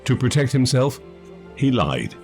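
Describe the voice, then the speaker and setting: A man reading scripted documentary narration in a measured, formal manner. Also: medium pitch, wildly swooping pitch, gravelly, a little mellow. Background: background music.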